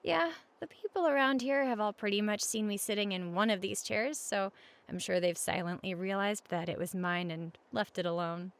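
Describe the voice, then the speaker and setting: A woman playing a friendly, reassuring roommate in a conversational comfort roleplay, speaking gently and casually at regular volume. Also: mid-range voice, moderate pace, clear, resonant tone, close to an everyday voice. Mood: relaxed, chatty. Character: friendly, reassuring young female roommate offering company.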